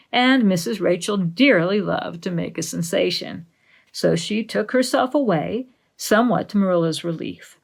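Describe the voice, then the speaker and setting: A woman's voice: lowish voice, gravelly. A woman reading a classic novel aloud as audiobook narration.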